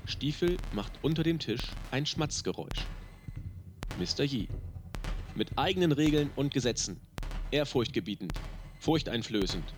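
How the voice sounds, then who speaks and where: A man's voice: medium pitch, expressive, normal speed, pauses often. A man reading a novel aloud, voicing the characters in dry, clipped narration. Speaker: audiobook reader. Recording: music loud underneath.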